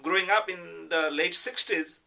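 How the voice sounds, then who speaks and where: A middle-aged or older man with a Bengali accent, giving a reflective interview in a measured, hesitant way with careful qualifications. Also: on the high side, gravelly, pushed and bright. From Bangladesh. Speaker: interviewee, professor. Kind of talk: reflective oral history interview.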